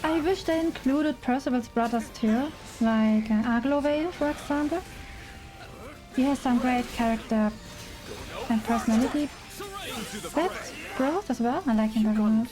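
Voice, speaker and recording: A woman's voice: fairly high pitch, low-quality recording. A woman from Germany with a German accent chats casually as a game streamer, in an informal, giggly and enthusiastic way. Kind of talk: casual chatty livestream commentary. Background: music.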